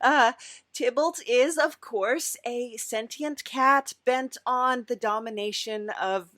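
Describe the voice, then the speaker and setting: A woman's voice: on the high side, takes it slowly, fairly bright tone, dry recording, studio quality. A woman hosting a casual comedic interview podcast, speaking in a hesitant, stammering way. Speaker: podcast host.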